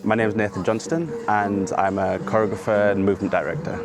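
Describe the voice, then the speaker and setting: A man's voice: lowish voice, steady tone, fairly quick. A man speaking informally as a choreographer interviewed for a documentary. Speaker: choreographer and movement director, interviewee. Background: loud music.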